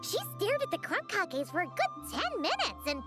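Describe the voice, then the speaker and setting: A woman voicing a tiny, chirpy, childlike fairy companion in a video game, excitable and nosy, with a squeaky voice. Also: moderate pace, heavily acted. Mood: chatty. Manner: refers to self in third person, exclamatory. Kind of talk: animated video game voice acting. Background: music.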